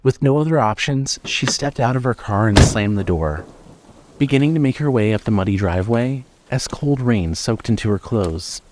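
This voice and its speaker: A man's voice: mid-range voice, lively intonation, moderate pace, noisy background. A man narrating a scripted horror story as the storyteller of an audio drama.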